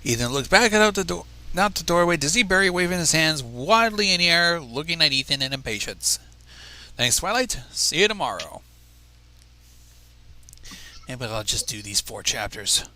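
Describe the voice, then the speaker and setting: A man's voice: fairly high pitch, expressive, fairly quick, few pauses, bright, forceful tone. A man reading fan fiction aloud on a livestream in a casual way, stumbling over lines and dropping into asides.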